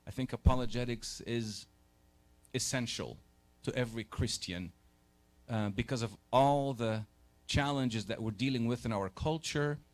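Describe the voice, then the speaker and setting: Egyptian-born man, forties, church lecturer, relaxed and chatty, mid-pitched voice. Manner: conversational, pauses to rephrase, takes questions. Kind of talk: church lecture to a live group. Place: church hall.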